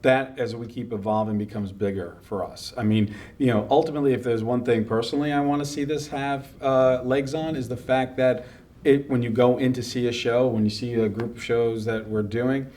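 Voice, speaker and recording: A man's voice: medium pitch, fairly even intonation, normal speed, darkish tone. Male co-organizer, mid-range voice, earnest and rambling in casual interview, mic a little distant. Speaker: festival co-organizer.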